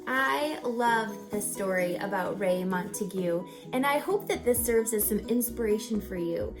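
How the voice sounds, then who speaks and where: A woman's voice: mid-range voice, animated, a little slow, over music. A woman reading a children's story aloud as a teacher, dramatic and emphatic, putting rhetorical questions to her listeners. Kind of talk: expressive children's read-aloud with teacherly asides.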